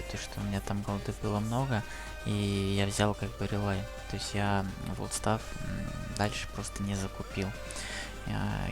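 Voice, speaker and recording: A man's voice: lowish voice, vocal fry. A young man giving casual gameplay guide commentary, rambling with lots of fillers. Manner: rambling, many fillers like "вот" and "то есть". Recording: music playing under the voice.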